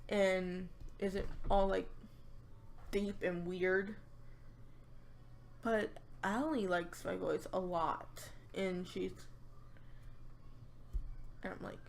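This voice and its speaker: A young woman with a raspy voice, chatting casually to her viewers and rambling with lots of fillers and restarts. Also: lowish voice, fairly even intonation, extremely slow, pauses often, a little hiss or hum. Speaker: YouTuber. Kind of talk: casual vlog chat.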